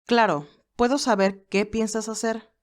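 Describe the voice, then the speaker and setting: A woman's voice: fairly high pitch, fairly even intonation, unhurried, pitched above the performer's own voice, close to an everyday voice. Woman reading a composed, loyal male assistant. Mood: attentive, composed.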